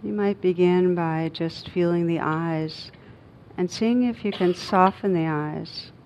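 A woman guiding a meditation as a teacher, speaking gently and unhurriedly in short phrases with long pauses. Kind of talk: guided meditation. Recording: dull muffled recording with faint rumbling noise.

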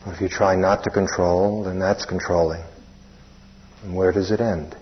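A man leading a guided meditation as a teacher, speaking plainly in short, unhurried phrases with long pauses. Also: low-pitched, level tone, slightly rough. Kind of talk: guided meditation instruction, spoken live. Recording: dull, muffled lo-fi recording.

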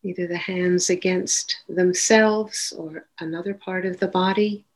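A woman leading a guided meditation as a teacher, giving gentle, unhurried instructions with long pauses between phrases. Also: lowish voice, steady tone, low-quality recording.

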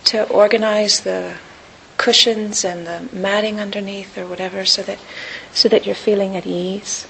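A woman teaching a guided meditation in a calm, unhurried, gentle manner with long pauses. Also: mid-range voice, poor audio. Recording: hissy recording with clear background noise.

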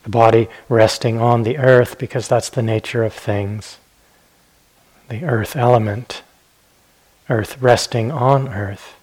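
A man teaching guided meditation in a gentle, unhurried manner, leaving long pauses between phrases. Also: medium pitch, fairly even intonation, slightly rough, poor audio.

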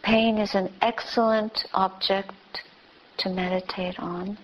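A woman teacher leading a guided meditation with gentle instructions in short, spaced phrases. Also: mid-range voice, level tone, takes it slowly, a little hiss or hum. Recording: dull, lo-fi recording.

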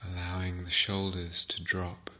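A man giving guided meditation instructions as a teacher, gently and unhurriedly, with long pauses. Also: deep voice, monotone.

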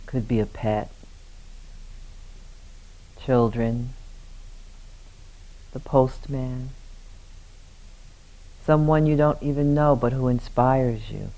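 A man leading a guided meditation as a teacher, speaking gently in short, unhurried phrases with long pauses. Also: medium pitch, low-quality recording.

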